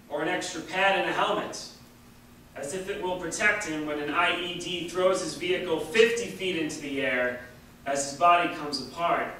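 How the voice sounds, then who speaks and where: A man giving a deliberate, emphatic reading of a written political essay at a public event. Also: fairly high pitch, sharp tone. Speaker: reader at a staged public reading. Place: large indoor hall at a public event. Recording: echoey hall from far off, faint noise.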